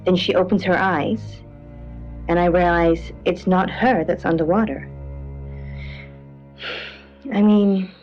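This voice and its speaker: An actress playing an earnest female late-night radio co-host and dream interpreter, speaking in a measured, tentative way. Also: lowish voice, fairly even intonation, dull audio. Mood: earnest, calm. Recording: band-limited radio sound over music.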